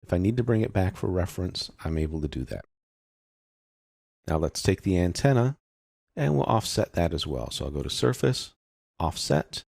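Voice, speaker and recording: Very deep-voiced man teaching software. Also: gravelly, no room sound, clean studio sound. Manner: explanatory, methodical, unscripted. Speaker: software tutorial instructor. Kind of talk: step-by-step screen tutorial narration, informal.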